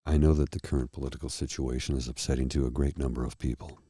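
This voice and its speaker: A tired man with a husky, slightly froggy throat, hosting his own show and talking earnestly and slowly to his viewers. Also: extremely low pitch, flat delivery, warm tone, no echo, clean studio sound. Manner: slow, earnest, heartfelt. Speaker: host. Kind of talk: candid personal talk to viewers.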